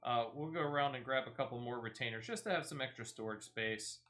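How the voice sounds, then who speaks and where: A man's voice: mid-range voice, fast talker, fairly bright tone. A man giving casual, playful gameplay commentary as he streams. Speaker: game streamer. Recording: music playing behind the voice. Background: game music.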